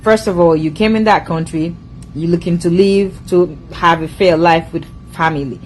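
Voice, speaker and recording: A woman speaks English with a foreign accent in a lowish voice. She lectures her audience in a casual, emphatic monologue and keeps circling back to the same points. A faint hum sits under the recording. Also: moderate pace, low-quality recording. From immigrant to the US. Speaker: social media commentator.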